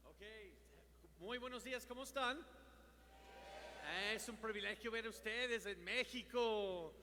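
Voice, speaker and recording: A man interpreting a speaker at a church conference, talking quickly and correcting himself as he goes. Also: very high-pitched, a little hiss or hum. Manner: quick, lively delivery with restarts and self-corrections. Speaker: ministry director and interpreter. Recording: reverberant hall with faint ambience.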